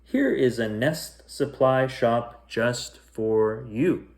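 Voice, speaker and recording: A man voicing an excitable, curious little girl from a children's storybook, with an earnest, exclaiming delivery. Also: medium pitch, extremely slow. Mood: kindly. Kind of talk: children's storybook character reading.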